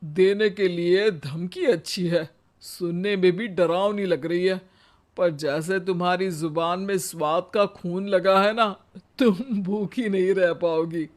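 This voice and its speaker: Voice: mid-range voice, fairly even intonation, takes it slowly, lightly acted. A performer voicing a teasing old father-in-law in a comic story, needling with dry mockery. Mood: mocking. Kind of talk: comic moral story dramatization.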